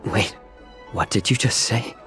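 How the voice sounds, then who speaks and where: A man voicing a wary, shrewd fox-folk captive in a video game, measured and quietly defiant. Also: on the low side, soft background music. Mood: startled. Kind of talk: dramatic video game voice acting.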